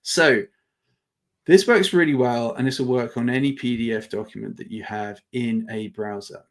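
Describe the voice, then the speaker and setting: A man presents an informal live product demo with noticeable vocal fry. His delivery is halting and conversational, as he thinks aloud while he explains things to attendees. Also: mid-range voice. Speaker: webinar presenter demonstrating software.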